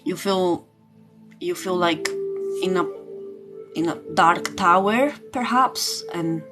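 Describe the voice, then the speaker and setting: A woman's voice: fairly low pitch, takes it slowly. A woman giving a casual live tarot reading as a spiritual coach, searching for words and often repeating them. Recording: loud music under the voice. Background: music.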